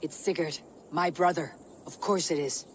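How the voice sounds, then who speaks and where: A woman's voice: low voice, fairly even intonation, moderate pace, a little hiss or hum, lightly acted. A woman playing a grim, determined Viking warrior in a video game, speaking in a terse, commanding, grave way. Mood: resolute. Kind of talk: dramatic video game cutscene acting. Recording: game music behind the voice.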